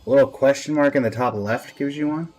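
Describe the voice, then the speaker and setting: A man's voice: mid-range voice, fairly quick, a little mellow. A man giving casual live-stream game commentary as the host, with a dry, resigned way of talking. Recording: music playing behind the voice. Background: background music.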